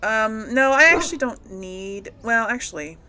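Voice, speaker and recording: A woman's voice: a little high, animated, moderate pace, sharp tone, a little hiss or hum. A woman hosting a casual gameplay video, thinking aloud as she plays. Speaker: gaming video host. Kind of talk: casual role-play gameplay commentary.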